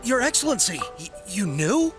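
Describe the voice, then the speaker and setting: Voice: mid-range voice, big pitch swings, normal speed, close to an everyday voice. An actor playing an anguished, loyal soldier in a video game, pleading earnestly and falteringly with music behind. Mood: pleading, shaken. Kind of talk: dramatic video game voice acting.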